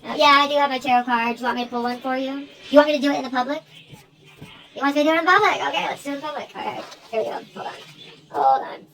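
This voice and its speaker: American lady rambling away like she's on a phone call, high voice, talks quick, some background noise. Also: fairly even intonation, gravelly, fairly bright tone. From United States. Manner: rambling, informal, jumps between asides, swears casually. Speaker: online video creator staging a phone call. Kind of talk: rambling one-sided phone chat to camera.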